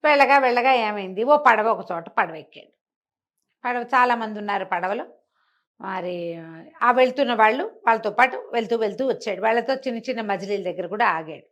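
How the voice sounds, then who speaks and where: A woman's voice: fairly high pitch, a little fast, a bit bright. A woman storyteller telling a bedtime folk tale conversationally, repeating and explaining small details as she goes.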